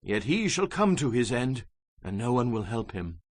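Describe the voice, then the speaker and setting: A man's voice: mid-range voice, big pitch swings, normal speed, dry recording, studio quality. A man performing a solemn prophet recounting apocalyptic visions in a theatrical, emphatic scripture reading. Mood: solemn, foreboding. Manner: solemn, emphatic, theatrical delivery with dramatic swells and drops. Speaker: dramatized audiobook Bible reader.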